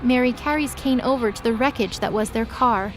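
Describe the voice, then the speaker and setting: Woman, story narrator, scripted dramatic delivery, higher-pitched voice, noticeable background noise. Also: a little fast. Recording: clear background noise under voice.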